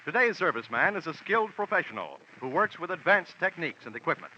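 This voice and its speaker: Vintage male radio announcer, slightly high-pitched. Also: very animated, fast talker, bright, forceful tone. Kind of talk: 1940s radio announcing, scripted recruitment pitch. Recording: dull, slightly noisy old radio recording.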